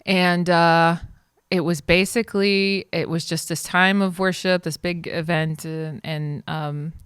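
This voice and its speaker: Lady from israel, american accent, smooth clear voice, dry close mic, chatty and animated. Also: unhurried, no echo. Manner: animated storyteller, many fillers, lively pitch jumps. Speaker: ministry leader, podcast guest. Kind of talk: casual interview conversation.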